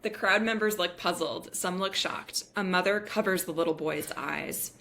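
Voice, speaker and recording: A woman's voice: lowish voice, normal speed, a bit bright. A woman reading screenplay stage directions as narrator in scripted table-read style.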